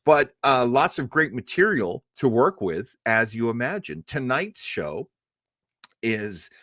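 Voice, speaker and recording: A man hosts a casual livestream with a very animated, swooping delivery. He talks in an enthusiastic, rambling way and takes his time. The sound is dry, with little room around him. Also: mid-range voice, slow, no echo. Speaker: show host. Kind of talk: casual livestream chat introducing old radio shows.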